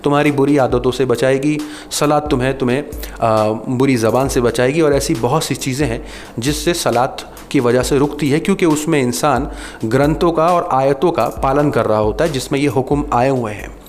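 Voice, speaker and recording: An Indian man speaks to viewers as a video host, explaining his subject in an earnest, measured way. His voice sits at a medium pitch. There is some noise around him in the recording. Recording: clearly noisy background ambience.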